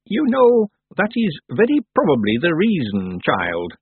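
Storybook old witch done by a male reader: stern, dry, lively swooping delivery, thin phone-like sound. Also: a little high, husky, dry recording, pitched above the performer's own voice, heavily acted. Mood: calm, dry. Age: over 100. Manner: brisk, stern, calm. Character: ancient imperious witch, woman, drily matter-of-fact.

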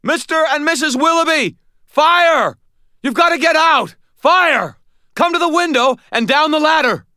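A man's voice: very high voice, lively intonation, unhurried, pushed and bright, dry recording, studio quality, voice pushed up, heavily acted. A man voicing an injured, desperate wizard hero in an audiobook, urgent, with a strangled, choked voice. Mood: desperate shouting.

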